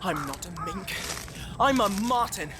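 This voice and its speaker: A woman's voice: mid-range voice, expressive, unhurried, natural voice. A performer, heard as a woman, playing a stubborn, earnest young pine marten hero in an audio drama. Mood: defiant. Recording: loud music over the voice.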